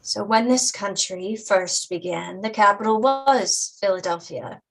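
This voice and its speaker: A woman playing a patient, caring mother in a staged drama reading, deliberate and instructive in her way of explaining. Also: mid-range voice, soft background music, rough recording.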